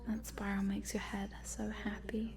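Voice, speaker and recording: Woman acting a whispering, creaky-voiced sinister lady scientist. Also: medium pitch, level tone, moderate pace, close to an everyday voice. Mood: soothing, teasing. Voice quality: soft, breathy whisper with creaky undertone. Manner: hushed, coaxing, murmuring, giggly asides. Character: cute sinister lady scientist, chatty, coaxing, quietly gleeful. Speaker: ASMR roleplay performer. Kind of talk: soft-spoken, whispered ASMR roleplay.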